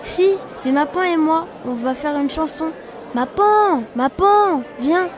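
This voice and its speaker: A woman's voice: sits high, slow pace, some chatter behind. A woman playing a playful young singer in an audio drama, with a singsong delivery.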